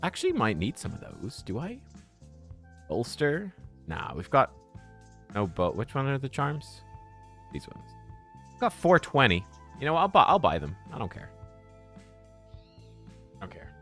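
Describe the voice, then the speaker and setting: A man chatting casually on a live game stream, relaxed and thinking out loud as he plays. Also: medium pitch, a little slow, pauses often, soft background music.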